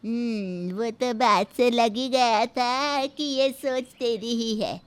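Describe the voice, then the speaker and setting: Woman acting crotchety old granny, bossy n grumbly, slow and highish, dry close sound. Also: clear, resonant tone, no echo. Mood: grumbling. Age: older. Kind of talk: Hindi moral story character acting.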